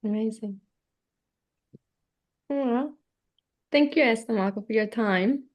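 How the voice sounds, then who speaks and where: A woman's voice: fairly high pitch, slow. A woman from Switzerland talking casually as the host of a conversation.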